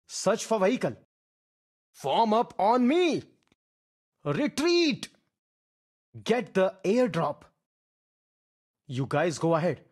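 An actor playing a game soldier who calls out short, urgent squad commands in a husky voice. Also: mid-range voice, exaggerated voice. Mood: focused. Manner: urgent, commanding, clipped phrases. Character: male battle-royale soldier teammate calling out squad commands. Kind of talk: short shouted game voice-pack callouts.

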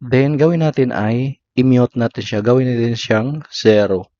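A man's voice: mid-range voice, moderate pace, mellow. A man with a Filipino accent gives step-by-step app tutorial narration in short, instructional phrases. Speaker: tutorial host. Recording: slightly dull lo-fi voice recording.

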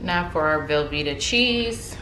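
Woman in her 30s, low unhurried voice, chatty home-cook vlogger, echoey kitchen with a TV in the background. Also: background noise. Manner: chatty and relaxed, many ums and likes. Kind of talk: casual cooking demonstration. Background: TV playing in the background.

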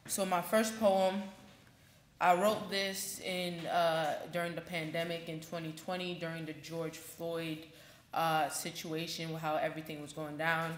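A young woman in her late teens introduces herself as a poet at a reading. Her voice is low and she talks slowly, with little rise and fall. The room around the microphone sounds echoey. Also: little pitch movement. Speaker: student youth poet. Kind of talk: informal introduction at a poetry reading. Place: indoor library event room. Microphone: microphone on a stand. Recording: echoey room with faint noise.

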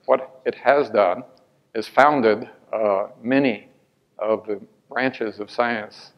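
A man's voice: lowish voice, takes it slowly, lo-fi sound. An older man answering audience questions after a lecture, hesitant with many fillers and restarts, on a lapel mic in a large hall.

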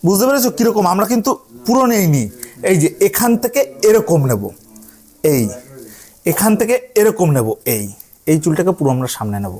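A man teaches hairdressing in a high voice. His intonation moves widely up and down as he instructs trainees. He repeats himself often and keeps asking whether they have understood. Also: very animated, fairly quick, a little mellow. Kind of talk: hands-on tutorial to trainees.

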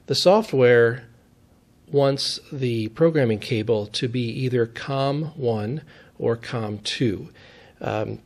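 A man's voice: medium pitch, slow pace. A man giving a step-by-step technical demonstration in a methodical, plain-spoken way, as an instructor would.

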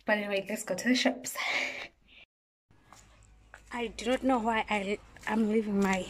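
A woman's voice: medium pitch, fairly even intonation, unhurried, slight background noise. Chatty young woman with an Eswatini accent. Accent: Eswatini English. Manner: chatty, drops to near-whispers then bursts into loud exclamations. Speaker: vlogger. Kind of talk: casual vlog chat. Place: home indoors and cold street outdoors. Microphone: phone front camera at arm's length.

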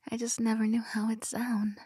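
Soft-whispering young woman, ASMR. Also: fairly high pitch, husky, no room sound, clean studio sound. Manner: whispering, giggly, affectionate. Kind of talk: ASMR roleplay. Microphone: close binaural mic.

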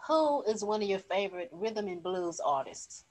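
A woman's voice: mid-range voice, lively intonation, normal speed, darkish tone. A woman hosting an interview over a video call, encouraging her guest with short replies. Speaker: host. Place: home indoors.

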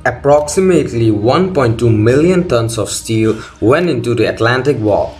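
A man's voice: mid-range voice, animated, moderate pace. A man reading scripted documentary narration as the host of a video. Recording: loud music under the voice. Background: loud background music.

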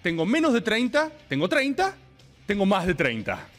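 A man's voice: high-pitched, normal speed, faint music behind. A man in his thirties with an Argentine accent, hosting a casual streaming show and pressing his point over and over. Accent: Argentine Spanish. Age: 30s. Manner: animated, insistent, repeats himself. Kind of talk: casual banter.

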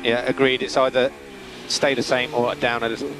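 A man working as a race engineer gives calm, methodical, terse instructions over team radio, repeating key calls. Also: medium pitch, moderate pace, over music, poor audio. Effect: team radio transmission. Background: vehicle hum and noise.

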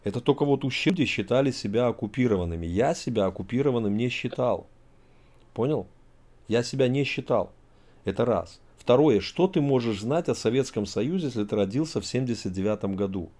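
A man's voice: mid-range voice, lively intonation, a little fast, mellow. A middle-aged man from Tajikistan hosting a heated video-chat argument, lecturing and emphatic, talking over a video call from an indoor room. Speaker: host.

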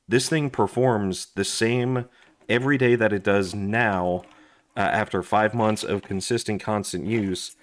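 A man who is hoarse and losing his voice, chatting casually with lots of fillers while he reviews his gear at a desk indoors. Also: lowish voice. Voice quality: raspy. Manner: chatty, rambling, with frequent fillers and restarts. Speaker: gear review channel host. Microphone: overhead camera on desk, hands only.

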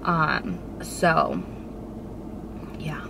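A woman's voice: low voice, big pitch swings, extremely slow, gravelly. A woman in her thirties chatting to camera from a parked car, talking through her nerves and quoting the people around her in a self-deprecating way. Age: 30s. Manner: chatty, self-deprecating, quotes herself and others. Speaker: vlogger. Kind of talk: casual chat to camera. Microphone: camera on the dashboard. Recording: car interior with background noise.

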